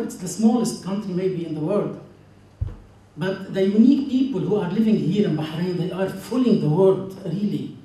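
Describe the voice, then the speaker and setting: A man in his thirties with a Lebanese accent, a native Arabic speaker, gives an earnest, halting personal speech to a congregation. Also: sits high, mellow. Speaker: church member giving a testimony. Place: large indoor church hall. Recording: very echoey church, distant mic, faint noise.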